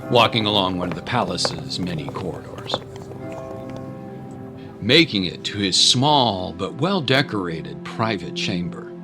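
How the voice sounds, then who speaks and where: A man with a low voice reads dramatic fiction as a narrator. The delivery is scripted storytelling, and music plays clearly underneath.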